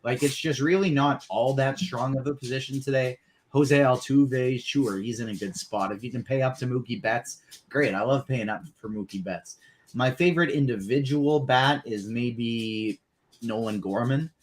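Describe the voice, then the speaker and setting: A man's voice: medium pitch, moderate pace. A young man talking fantasy baseball picks in a laid-back, hedging way. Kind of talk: casual sports betting chat.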